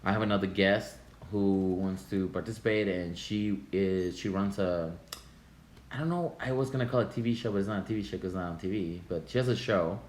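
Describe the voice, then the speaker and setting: Male podcast host, Russian accent, low voice, roomy recording. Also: fairly quick, a little mellow. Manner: laughs often, hesitant fillers. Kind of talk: casual chat. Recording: echoey room with faint noise.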